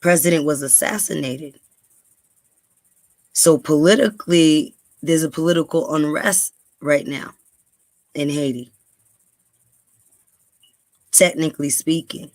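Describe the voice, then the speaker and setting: A woman's voice: low voice, slow, pauses often, a little mellow, no room sound. Earnest New woman vlogger. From New York, USA. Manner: earnest, many ums and repeats. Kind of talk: casual talk to camera, then reading aloud.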